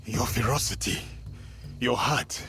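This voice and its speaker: A man playing a stoic, honorable warrior of feudal Japan in a video game, speaking in measured, solemn, formal phrases. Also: medium pitch, pushed and bright, faint music behind. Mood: solemn, respectful. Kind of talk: cinematic video game acting.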